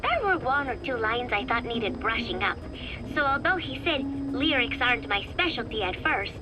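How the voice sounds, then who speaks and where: An actress playing a wistful young woman, speaking softly and deliberately in an intimate taped diary for a video game. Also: a little high, big pitch swings, noisy background. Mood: bright, proud.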